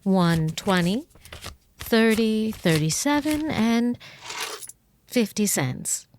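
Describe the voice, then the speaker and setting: Cool, scheming femme fatale. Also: female voice, mid-range voice, lively intonation, extremely slow, a little mellow, slight background noise. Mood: businesslike. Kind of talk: old-time radio noir acting.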